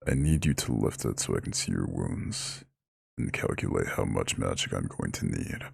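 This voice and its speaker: An ancient eldritch god for an ASMR roleplay, rumbles at an extremely low pitch with heavy, gravelly fry. He speaks with lordly, formal pride and a caretaker's tenderness, his intonation moving freely in a close, dry recording. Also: expressive, no echo, full character voice. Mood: commanding, attentive. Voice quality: very deep, gravelly, husky growl. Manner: lordly, formal, slow comforting address. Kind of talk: scripted ASMR roleplay monologue.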